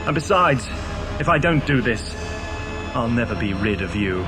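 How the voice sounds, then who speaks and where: Man acting an intense time-travelling hero over loud music. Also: mid-range voice, very animated, moderate pace, close to an everyday voice. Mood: weary, defiant. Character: anguished, determined male time-travelling adventurer. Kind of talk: audio drama acting.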